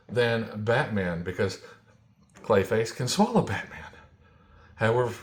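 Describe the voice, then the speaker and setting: A man giving a casual, unhurried product review, talking in short phrases with pauses and repeating himself. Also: medium pitch, a little mellow, breathy edge. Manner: unhurried, repetitive, pausing between short phrases. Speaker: toy reviewer, host.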